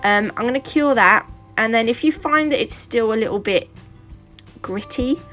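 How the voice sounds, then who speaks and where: A woman's voice: fairly high pitch, normal speed, sharp tone, faint music behind. A British woman with a sore throat and a stuffy nose, chatting her way through a nail tutorial in a rambling, casual style. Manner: chatty and rambling, with fillers and asides. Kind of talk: casual how-to narration.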